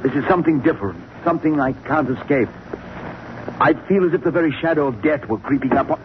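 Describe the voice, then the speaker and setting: An actor playing a frightened, guilt-ridden husband in a 1940s radio melodrama, agitated and desperate. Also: male voice, fairly high pitch, animated, quick pace, natural voice. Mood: anxious, desperate. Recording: noisy muffled 1940s radio broadcast.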